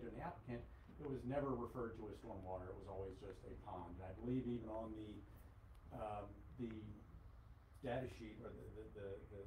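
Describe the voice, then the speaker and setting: A man, a local resident on a panel, explaining his points with many pauses and restarts. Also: fairly low pitch. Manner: hesitant, searching for words. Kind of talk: panel discussion. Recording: roomy, distant, clear background noise.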